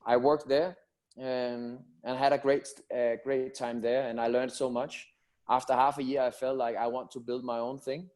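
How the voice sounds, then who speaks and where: A man's voice: medium pitch, level tone, moderate pace, darkish tone. Man, earnest and reflective. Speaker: football academy founder and guest. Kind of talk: personal story told in a webinar interview.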